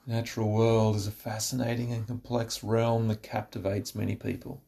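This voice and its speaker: A man narrating a scripted guided relaxation, giving slow, instructive phrases with pauses. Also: lowish voice, little pitch movement, gravelly, warm tone.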